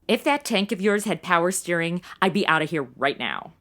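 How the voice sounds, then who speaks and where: An actress playing a sassy, impatient modern twenty-something in a comedy audio drama, flippant and gossipy with plenty of slang. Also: female voice, fairly low pitch, expressive, fairly quick, a bit bright.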